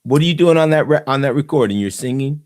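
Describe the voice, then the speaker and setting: A man's voice: mid-range voice, animated, fast talker, darkish tone. A man hosting a call-in chat show, asking the guest easygoing, curious questions. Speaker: host.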